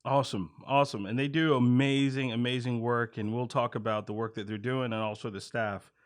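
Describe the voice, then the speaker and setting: Casual male podcast interviewer, mid-pitched. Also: steady tone, brisk, smooth.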